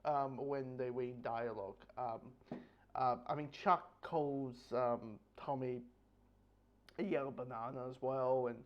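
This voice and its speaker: A man giving a casual, unscripted review in a rambling, halting way, often repeating words and restarting, with dull lo-fi sound. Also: mid-range voice, fairly even intonation. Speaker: book review host.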